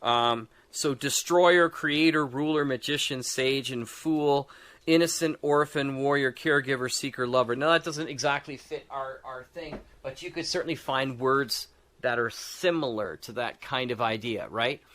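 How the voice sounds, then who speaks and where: A man in a casual discussion of tabletop game design, talking in a halting way as he thinks aloud and often checks for agreement. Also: medium pitch, fairly bright tone. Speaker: podcast co-host.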